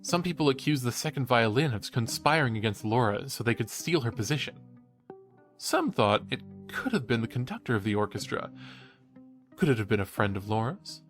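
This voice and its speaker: An actor plays a young male college student hosting a true-crime podcast in an audio drama. He is cheeky and enthusiastic, with an animated, mid-pitched delivery. Faint music runs underneath. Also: a little fast, natural voice. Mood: intrigued storytelling. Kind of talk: conversational audio drama acting as podcast host.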